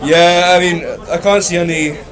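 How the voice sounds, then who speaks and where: A man's voice: sits high, steady tone, normal speed. A man debating on the street, stammering and repeating words when he is interrupted. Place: outdoors in a city. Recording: crowd noise outdoors. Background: crowd of onlookers talking.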